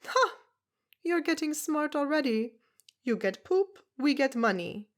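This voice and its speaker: A woman's voice: high voice, expressive, measured pace, voice pushed up. Woman doing brusque tiny foreign herbalist woman. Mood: gruff, pushy. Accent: foreign, unplaced. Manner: broken English, loud exclamations, clipped.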